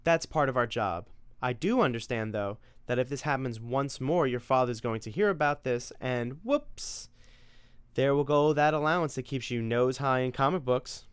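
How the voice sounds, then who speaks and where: A man's voice: medium pitch, normal speed, clean tone, dry recording, natural voice. A man voicing a stern mother who scolds her son for being late. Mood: scolding.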